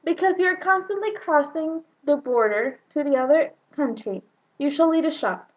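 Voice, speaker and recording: A woman's voice: high-pitched, animated, normal speed, highs cut off. A young woman from northern Mexico, a native Spanish speaker with a Mexican accent touched by Texan, giving a careful prepared talk about herself. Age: young adult. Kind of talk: prepared personal monologue.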